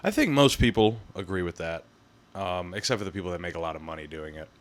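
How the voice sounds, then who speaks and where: A man's voice: low-pitched, lively intonation, quick pace. A young adult man hosting a podcast, chatting in a laid-back way and laughing easily. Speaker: podcast host. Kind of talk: casual chat.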